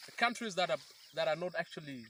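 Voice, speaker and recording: Interviewgast, Mann in den Dreißigern aus dem Südsudan, erklärt eindringlich und setzt oft neu an; leise Insekten im Hintergrund. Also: male voice, a little high, animated, fast, sharp tone, slight background noise. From South Sudan. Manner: earnest, explanatory, with frequent restarts. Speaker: peace activist guest. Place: outdoors by a river in rural bushland.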